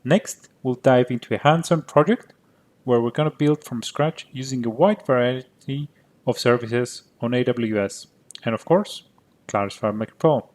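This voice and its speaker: A man's voice: mid-range voice, moderate pace, darkish tone. Greek Cypriot man giving a tech talk. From Cyprus. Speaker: conference presenter. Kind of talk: prepared conference talk.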